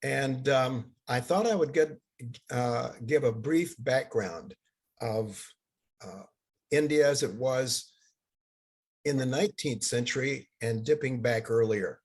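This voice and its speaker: Halting older man, husky voice. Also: mid-range voice. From Bangladesh. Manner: halting, many fillers and restarts. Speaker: seminar lecturer. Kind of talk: academic lecture with slides.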